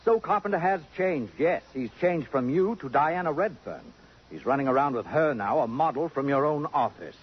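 A man's voice: a little high, animated, normal speed, breathy edge. An actor playing a suave, possessive, sardonic society man in a 1950s radio drama, with arch and precise pompous diction. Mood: insistent, cutting. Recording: muffled vintage radio broadcast.

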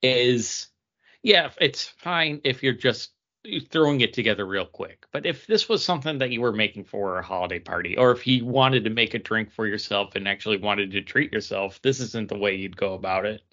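A man's voice: on the low side, moderate pace, pushed and bright, muffled recording. A man co-hosting a podcast, chatting casually and explaining things in detail. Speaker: podcast co-host. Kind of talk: casual podcast chat about cocktails.

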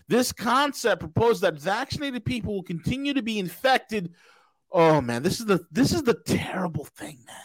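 A man hosting a podcast, talking in loud, profane, mocking rants. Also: fairly high pitch, no room sound, low-quality recording. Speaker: podcast host. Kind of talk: casual talk show banter.

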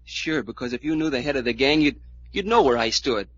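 A man's voice: mid-range voice, expressive, fairly quick, a bit bright. An actor playing a defiant young hoodlum in a 1940s radio serial, sneering in tough-guy slang. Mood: defiant, rattled. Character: sullen, defiant young male hoodlum hating cops. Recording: muffled old-time radio recording.

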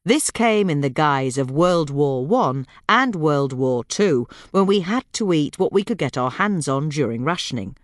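Female narrator for scripted gardening podcast: animated pitch movement, measured explanatory read, very dry close sound. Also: no room sound.

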